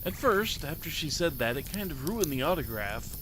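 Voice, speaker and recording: A man voicing an excitable, earnest ten-year-old boy reading his diary in a dramatic short story. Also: a little high, normal speed, background noise. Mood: let down. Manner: naive, childlike, eager phrasing.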